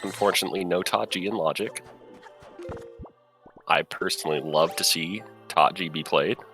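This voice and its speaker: A man's voice: deep voice, moderate pace, bright, forceful tone, faint music behind. A man doing casual, playful game commentary in a soothing voice, joking about himself and laughing often.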